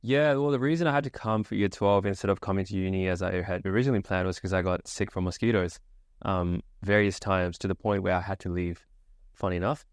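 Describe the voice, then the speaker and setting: A man's voice: on the low side, clear, resonant tone, dry recording, clean studio sound. A young man from Sri Lanka, a guest on an interview podcast, telling his story in an easygoing, casual way with plenty of fillers. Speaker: guest, agency founder. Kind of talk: relaxed interview answers.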